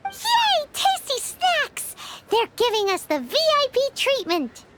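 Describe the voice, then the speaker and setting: Tiny bouncy game fairy, very high and lively, blunt and teasing. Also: female voice, slow pace, over loud music, full character voice. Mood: delighted. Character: tiny floating female fairy companion, cheeky, blunt. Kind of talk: video game voice acting.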